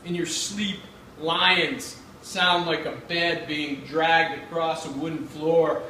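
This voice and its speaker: An American man from Pittsburgh giving a measured, dramatic live reading of his own literary writing. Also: a little high, steady tone, bright, forceful tone. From Pittsburgh, United States. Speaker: writer reading own work. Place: indoor reading event. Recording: echoey room, far mic, faint noise.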